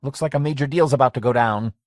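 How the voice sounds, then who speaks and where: A man's voice: mid-range voice, fast talker, a little mellow, dry recording, pitched above the performer's own voice, lightly acted. A jittery cab driver , voiced by a male audiobook reader, talks anxiously in a lifted voice. The sound is dry and close.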